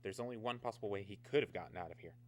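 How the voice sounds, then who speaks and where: Man playing a brisk, official security officer. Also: fairly low pitch, slight background noise, natural voice. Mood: matter-of-fact. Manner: clipped, matter-of-fact, official. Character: businesslike male security officer at atomic research camp. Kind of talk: radio drama acting.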